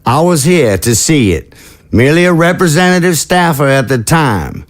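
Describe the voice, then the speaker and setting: A man acts out a veteran president at the podium in an audio drama. He is deliberate and oratorical, with a slightly high voice and lively intonation. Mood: proud, ceremonial. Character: stately veteran president addressing a crowd. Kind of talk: political speech in an audio drama.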